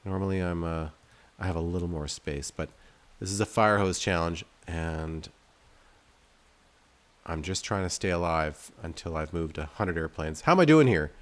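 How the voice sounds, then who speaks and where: Low-voiced man casually narrating gameplay. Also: lively intonation, normal speed. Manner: rambling, self-deprecating, thinking aloud. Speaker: game developer and video host playing his game.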